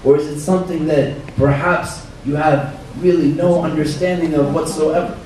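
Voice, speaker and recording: A man giving a reflective religious talk as an imam, hoarse from illness, quoting Arabic scripture in a measured way. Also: a little high, steady tone, a little mellow. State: hoarse, losing his voice. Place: banquet hall. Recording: roomy far-off hall recording with faint noise.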